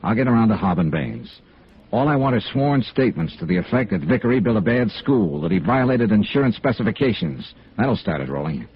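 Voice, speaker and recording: A man's voice: lowish voice, very animated, a little fast, a little hiss or hum. An American actor playing a hard-boiled, persistent insurance investigator in a radio noir drama, clipped and matter-of-fact as he narrates and questions. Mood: firm, businesslike. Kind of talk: 1950s radio noir acting. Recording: dull vintage radio recording with music.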